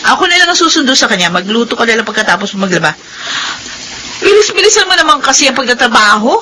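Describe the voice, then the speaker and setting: A woman's voice: on the high side, sing-song, quick pace. A woman playing a bossy, suspicious wife and household mistress in a Filipino radio drama, scolding sharply and impatiently. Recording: faintly noisy radio drama recording.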